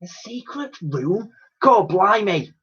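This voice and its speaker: A man's voice: sits high, big pitch swings, slow pace, fairly bright tone, slightly rough, pitched above the performer's own voice, heavily acted. A man voicing a curious, daring orphan girl who talks eagerly and asks lots of questions. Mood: excited. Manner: eager, inquisitive. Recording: dull lo-fi recording.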